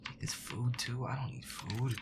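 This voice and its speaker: A man voicing a feisty, grumpy young werewolf boyfriend in a gameplay roleplay, muttering irritably and swearing to himself in a breathy voice. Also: mid-range voice, steady tone, moderate pace, very noisy, natural voice. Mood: irritated, grumbling. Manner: foul-mouthed, irritable muttering with nervous outbursts.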